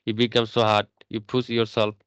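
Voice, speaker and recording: Man, smooth and close. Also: lowish voice, monotone, a bit bright, dry recording, lo-fi sound. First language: Bengali. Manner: halting, many restarts and fillers. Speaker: English learner. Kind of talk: casual English practice chat.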